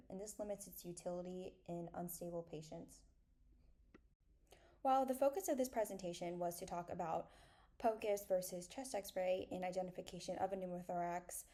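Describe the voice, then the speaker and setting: Young woman presenting, creaky relaxed voice. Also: fairly low pitch. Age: young adult. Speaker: presenter, medical student. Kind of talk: scripted academic slide presentation.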